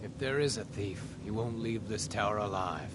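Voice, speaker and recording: A man's voice: fairly low pitch, moderate pace, lightly acted. Man acting a wary, menacing fantasy guard, noisy capture. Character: suspicious, threatening male guard in a fantasy game. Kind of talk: video game voice acting. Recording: noisy game audio capture.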